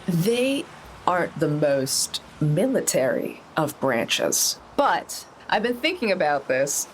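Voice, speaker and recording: A man's voice: on the high side, very animated, takes it slowly, a little hiss or hum, low-quality recording. A man playing a tabletop role-playing game, talking casually in a hesitant, musing way. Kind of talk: casual in-character table talk.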